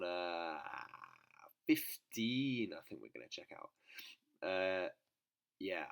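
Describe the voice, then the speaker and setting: A British man giving casual gameplay commentary in a nasal voice, congested with a cold, in an informal, hesitant manner. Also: sits low. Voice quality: nasal from a blocked nose. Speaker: gaming channel host.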